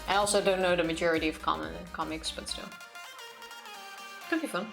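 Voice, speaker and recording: A woman's voice: on the low side, a little fast, creaky, fairly bright tone. Blunt woman streamer chatting over music. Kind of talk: casual chat before a game stream. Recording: music playing behind the voice.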